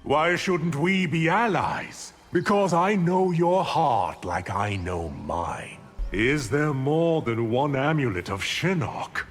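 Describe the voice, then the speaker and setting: Snooty evil game sorcerer, slow theatrical talk, big echoey hall with faint music. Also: male voice, a little high, very animated. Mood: arrogant menace. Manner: haughty, theatrical, deliberate. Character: scheming male evil sorcerer, arrogant and scornful. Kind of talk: video game villain acting. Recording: long hall reverb, music behind.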